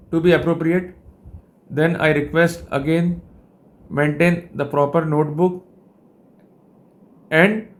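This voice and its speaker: A man with an Indian accent giving a legal lecture in a formal, wordy, didactic way. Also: fairly high pitch. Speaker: legal educator and host.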